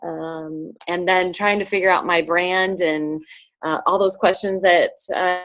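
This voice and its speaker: A woman sharing with her team on a video call, speaking softly and haltingly with many fillers. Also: on the low side, fairly even intonation.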